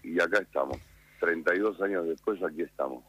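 A man in his fifties with an Argentine accent, a chef calmly explaining cooking as a radio guest. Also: sits low, breathy edge. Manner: calm, unhurried, explanatory. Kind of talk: radio interview by phone.

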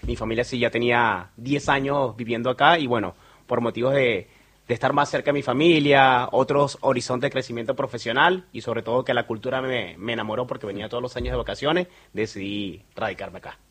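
A man with a Venezuelan accent answers interview questions in an earnest, promotional way. His voice is husky and a little breathy, and he speaks at a middle pitch with frequent fillers. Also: fairly even intonation, a little fast, a bit bright. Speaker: guest, sales manager. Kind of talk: radio interview.

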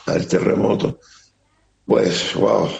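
A middle-aged man with a Venezuelan accent giving an earnest, halting account as an interviewee, often repeating words. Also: lowish voice, fairly even intonation, gravelly. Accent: Venezuelan Spanish. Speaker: interviewee, security operator. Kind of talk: emotional testimony on a remote interview. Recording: muffled lo-fi line with faint noise.

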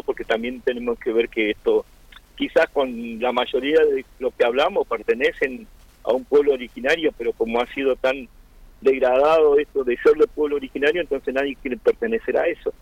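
An Argentine man with a gravelly, creaky voice talks in an earnest, halting way, restarting his phrases and filling pauses. The sound is dry and dull, like a lo-fi radio feed. His pitch sits in a middle range. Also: fairly even intonation, dry recording. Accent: Argentine Spanish. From Argentina (Chubut). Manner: hesitant, many fillers and restarts, earnest. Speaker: interviewee, Mapuche-Tehuelche community member. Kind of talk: radio interview, reflective explanation.

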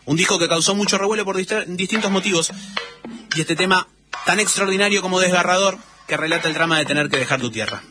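A man with an Argentine accent hosting a show, telling sports stories conversationally with dramatic, emphatic pauses. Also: high-pitched, music in the background. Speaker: storytelling radio or podcast host.

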